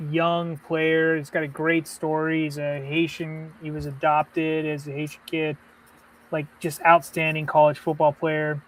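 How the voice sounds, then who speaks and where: A man's voice: a little high, fairly bright tone, rough recording. A man co-hosting a live sports podcast, chatting loosely with lots of "like" and "you know". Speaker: podcast co-host. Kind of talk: casual live-stream chat.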